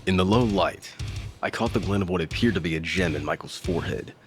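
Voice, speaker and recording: A man's voice: low voice, fairly quick, close to an everyday voice. An actor playing a battered, gritty fighter, narrating his own story in an audio drama with grim intensity. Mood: grim, intense. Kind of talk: dramatic first-person scripted narration. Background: loud music.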